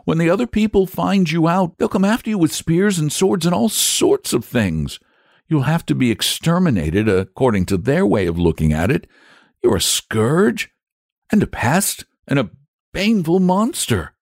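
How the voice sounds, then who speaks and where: A man's voice: a little high, very animated, normal speed, gravelly, darkish tone, no room sound, studio quality, pitched above the performer's own voice, full character voice. A man voicing an earnest, polite and frank young boy in a storybook, speaking emphatically and sensibly. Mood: exasperated. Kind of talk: storybook character voice.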